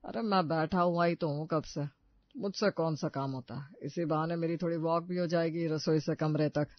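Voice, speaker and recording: A man's voice: on the high side, fairly even intonation, normal speed, no echo, dull audio, lightly acted. A man voicing a kindly, playful older father-in-law in a cartoon moral story. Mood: helpful. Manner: encouraging, playful.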